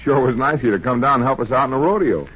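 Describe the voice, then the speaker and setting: A man's voice: mid-range voice, lively intonation, racing, lightly acted. A man acting a modest, polite small-town bank teller and husband, folksy, with an American accent, in old radio drama style. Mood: hospitable. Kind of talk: 1940s radio western acting. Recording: muffled old radio recording, faint noise.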